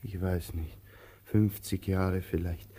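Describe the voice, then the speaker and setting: An actor in a German radio play, playing an aging vagabond who is anxious and hopeful, with a husky voice and halting, theatrical delivery. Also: male voice, low voice, fairly even intonation, heavily acted. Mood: weary, subdued. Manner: halting questions, repeats himself, theatrical swings from urgent to hushed. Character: aging male vagabond, anxious, hopeful, caring for his companion.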